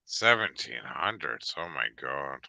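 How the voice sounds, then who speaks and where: A man in his fifties chatting casually, rambling with many restarts and fillers, sometimes bursting out excitedly. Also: very deep voice, measured pace, vocal fry, a crowd in the background. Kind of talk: casual chat.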